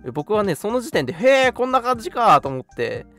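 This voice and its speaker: A man's voice: sits high, very animated, brisk, pushed and bright. A man co-hosting a radio show, chatting casually. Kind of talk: casual chat. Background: background music.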